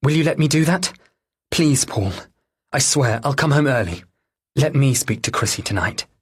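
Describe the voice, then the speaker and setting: A man's voice: mid-range voice, lively intonation, normal speed, breathy edge, pitched above the performer's own voice. A man voicing a worried wife and mother who speaks in an earnest, hesitant, pleading way.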